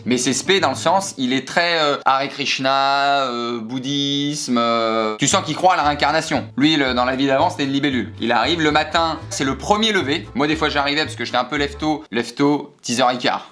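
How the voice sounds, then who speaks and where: A young man telling a comic holiday story to camera, animated, full of sound effects and asides. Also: medium pitch, moderate pace, few pauses. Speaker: comedian storyteller.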